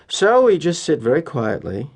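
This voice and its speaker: An actor with an American accent playing a cynical, polished middle-aged corporate executive in an audio drama monologue, wry and deliberate with emphatic pauses. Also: male voice, fairly high pitch, wildly swooping pitch, slightly rough, heavily acted. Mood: coolly reflective. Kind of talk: theatrical first-person dramatic monologue.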